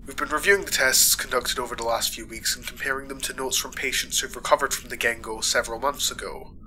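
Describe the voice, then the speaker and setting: A man playing a reassuring space-hospital doctor in a sci-fi audio roleplay, formal, measured and clinical. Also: mid-range voice, steady tone, bright, forceful tone, a little hiss or hum, low-quality recording. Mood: professional, reassuring. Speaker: voice actor.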